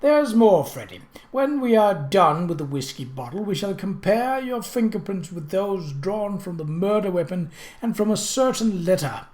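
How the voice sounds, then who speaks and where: A narrator voicing an imperious, mocking British prince in an audiobook, pompous and theatrical, with deliberate, drawn-out emphasis. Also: medium pitch, lively intonation, darkish tone, echoey room, voice pushed up, close to an everyday voice. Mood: brisk, pointed. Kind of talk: audiobook character voice.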